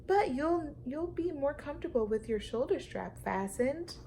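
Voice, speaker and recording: A woman's voice: high voice, expressive, moderate pace, warm tone, a little hiss or hum, voice pushed up. A woman voicing a sweet, earnest little girl in a storybook, eager and affectionate. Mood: tender.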